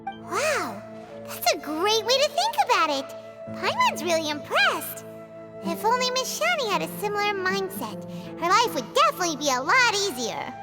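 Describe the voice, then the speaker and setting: A woman voicing a small, childlike fairy companion in a video game, excitable and naive, with a squeaky voice. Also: normal speed. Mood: impressed. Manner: speaks of herself in third person, exclamatory. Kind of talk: video game character dialogue. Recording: music behind the voice.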